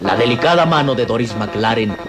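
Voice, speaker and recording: A man's voice: medium pitch, animated, a little fast. A man narrating a radio serial in a solemn, suspenseful, declamatory scripted style. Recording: radio serial with loud music.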